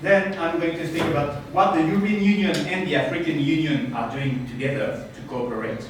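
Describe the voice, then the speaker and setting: A man's voice: fairly high pitch, moderate pace, a little hiss or hum. Male interpreter in an echoey hall. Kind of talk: consecutive interpreting into English. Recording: echoey room from far off.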